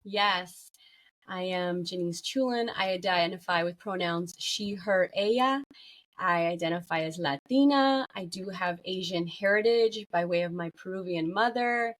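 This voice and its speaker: A woman's voice: lowish voice, a little slow. A woman talking conversationally as a guest on a podcast interview. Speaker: podcast guest, workplace well-being consultant.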